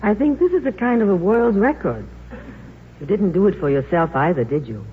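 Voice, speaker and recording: An actress playing a worldly, forthright film star in 1950s radio drama, frank, wry and assured. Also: female voice, medium pitch, expressive, moderate pace, close to an everyday voice. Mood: wry, sympathetic. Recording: muffled, hissy, reverberant old radio recording.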